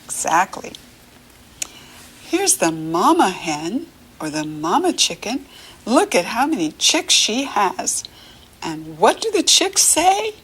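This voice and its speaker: American woman, children's read-aloud teacher. Unhurried, very lively pitch, encouraging and full of questions. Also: slightly rough. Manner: encouraging, asks questions and leaves room to answer; animated, sing-song child-directed talk. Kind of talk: read-aloud picture-book lesson for young children.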